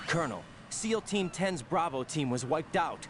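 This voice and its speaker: A man's voice: on the high side, expressive, normal speed, slight background noise, natural voice. An American man playing an earnest, unsettled young special agent in a video game, questioning intensely. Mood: questioning, alarmed.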